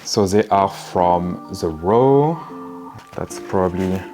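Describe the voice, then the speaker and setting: A man with a French accent, talking calmly to camera in a casual vlog with frequent fillers. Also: low voice, music in the background. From France. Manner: calm, measured, frequent "you know" fillers. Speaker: menswear and vintage YouTuber. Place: home in Southern California.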